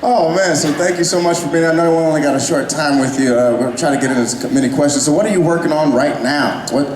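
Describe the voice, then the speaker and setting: A man hosting a live stage interview at a fan convention, losing his voice and shouting to the crowd. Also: a little high, fast talker, noisy background. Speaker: convention panel host. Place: large convention hall with a crowd. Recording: echoey hall over loud crowd and music.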